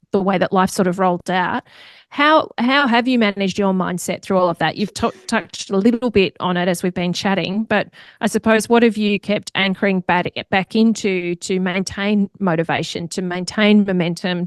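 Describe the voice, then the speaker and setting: A woman's voice: medium pitch, no echo. A woman with an Australian accent hosting an interview podcast in a conversational, encouraging way. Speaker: podcast host.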